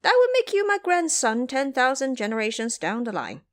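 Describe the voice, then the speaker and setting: A woman's voice: high voice, huge pitch swings, moderate pace, voice pushed up. A woman voicing a cheeky, irreverent male monkey disciple with a brash, teasing manner in an audio drama.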